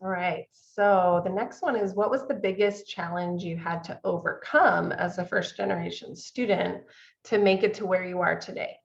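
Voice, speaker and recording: Lady moderating a panel, gravelly fry, lowish, encouraging, muffled lo-fi sound. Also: normal speed. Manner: encouraging, affirming. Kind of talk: moderated discussion. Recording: dull lo-fi call audio.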